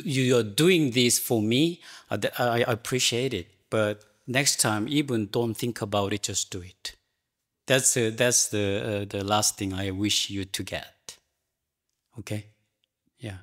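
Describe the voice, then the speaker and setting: A man giving a Zen talk and answering a student's question in halting English, with many restarts and fillers. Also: medium pitch. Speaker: Zen teacher. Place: meditation hall.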